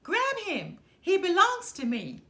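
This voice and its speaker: Woman acting a furious, high-pitched shouting slave owner. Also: wildly swooping pitch, slow, heavily acted. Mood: furious, commanding. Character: angry, domineering slave owner shouting orders. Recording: roomy, echoing room.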